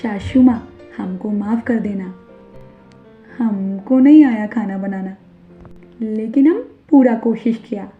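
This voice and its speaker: A woman's voice: mid-range voice, lightly acted. A woman as a meek Nepali daughter-in-law, tinny sound. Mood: apologetic. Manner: slow, hesitant, broken Hindi. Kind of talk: animated Hindi moral story acting. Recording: narrow phone-like sound over music.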